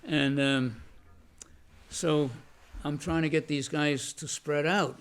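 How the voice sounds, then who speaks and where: An older man, a veteran, recalling his past in an oral history interview, halting with many restarts and fillers and a loud voice. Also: on the high side, fairly even intonation, smooth. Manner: says he has a loud voice.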